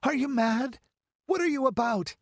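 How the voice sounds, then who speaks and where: Man voicing an outraged noblewoman, high-pitched and fiery. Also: normal speed, no room sound, clean studio sound. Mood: outraged, bewildered. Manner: crying out, indignant, desperate. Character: fiery, outraged young noblewoman defying her uncle. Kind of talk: audiobook dramatic character voice.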